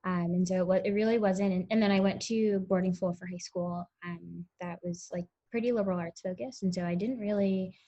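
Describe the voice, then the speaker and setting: A woman's voice: fairly low pitch, steady tone, fairly quick, few pauses, mellow. Chatty young woman, muffled audio. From Connecticut, US. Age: 20s. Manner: chatty, many ums and likes. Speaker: interview guest, tech startup founder. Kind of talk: casual interview answers.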